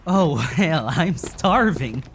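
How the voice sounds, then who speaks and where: A woman playing a lively, grumbling but supportive travelling companion in an audio drama. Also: sits low, slow, noisy background, full character voice. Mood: warm, relieved. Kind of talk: naturalistic audio drama acting.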